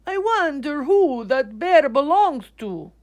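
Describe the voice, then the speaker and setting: A woman voicing a kindly, curious young male artist in a storybook, musing aloud in an animated way. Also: high voice, slow pace, voice pushed up, exaggerated voice. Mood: kind concern.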